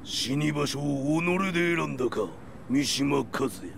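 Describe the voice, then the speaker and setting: Gravelly battle-hungry demon fighter. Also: male voice, a little high, animated, normal speed, background noise. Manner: archaic, grave, menacing. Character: savage, battle-hungry, solemn male martial arts demon. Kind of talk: dramatic Japanese video game acting. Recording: loud cinematic music behind.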